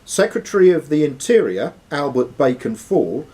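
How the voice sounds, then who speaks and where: Guy running a pub-style quiz, bit raspy, animated delivery reading out questions. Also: a little high, moderate pace. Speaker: quiz host and question reader. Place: sports and social club room.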